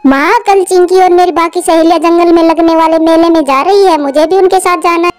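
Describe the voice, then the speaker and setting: A woman's voice: very high-pitched, fairly even intonation, fairly quick, a little mellow, no echo, soft background music. A woman voicing a sweet, thoughtful young girl bird in a cartoon. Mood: sweet, eager.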